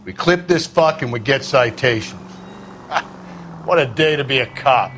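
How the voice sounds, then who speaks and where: Man playing a blunt American detective, animated delivery. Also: medium pitch, measured pace, background noise, natural voice. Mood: excited, brash. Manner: blunt, brash, colloquial. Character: gruff, cynical, foul-mouthed male veteran police detective partner. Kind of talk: naturalistic game cutscene acting.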